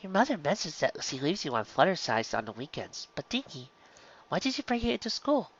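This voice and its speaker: Kindly storybook schoolteacher pony, read by a woman, quiet, gentle and measured, lively pitch, slightly muffled sound. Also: slightly rough, full character voice. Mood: concerned. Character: kindly female pony schoolteacher, patient and concerned.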